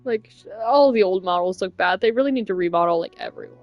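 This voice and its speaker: A woman gives casual live gameplay commentary. Her voice is medium in pitch, with an offhand, blunt, muttering manner. Faint music plays behind her. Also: animated, quick pace, dry recording, lo-fi sound. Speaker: game streamer.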